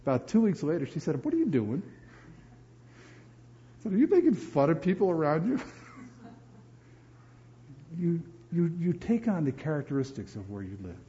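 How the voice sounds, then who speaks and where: A man from New Jersey preaching a sermon as pastor, animated and often repeating words as he builds emphasis. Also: a little high, moderate pace, long pauses, muffled recording, slight background noise.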